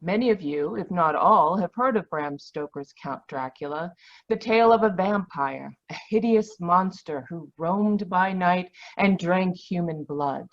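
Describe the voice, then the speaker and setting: A woman announces a radio horror show with scripted drama. Her voice sits fairly low. The audio is a little dull, like an internet call. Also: measured pace.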